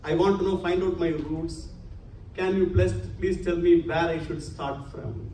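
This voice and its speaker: A man's voice: a little high, steady tone, a little slow, dark tone. A man giving an earnest religious lecture to a congregation, using many Sanskrit terms. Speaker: spiritual lecturer. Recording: echoey hall, distant mic, faint hum.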